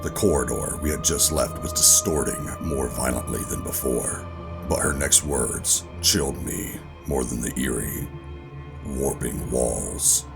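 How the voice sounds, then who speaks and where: Man acting very deep, gravelly male operative. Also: measured pace, music in the background, heavily acted. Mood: brooding, ominous. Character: gruff, guarded male covert operative narrating sci-fi horror. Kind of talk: first-person scripted audio drama narration and dialogue.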